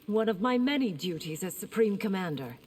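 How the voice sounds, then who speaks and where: A woman voicing a stern, authoritative military commander in a video game, brisk and reproving. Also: medium pitch, animated, darkish tone. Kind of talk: video game character acting. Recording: clear noise behind the voice.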